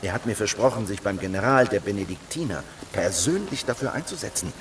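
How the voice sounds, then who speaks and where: An actor playing a calm, resolute leader of a secret heretical circle in a German radio drama, speaking with assured persuasion. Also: male voice, on the low side, a little fast, a little hiss or hum, natural voice.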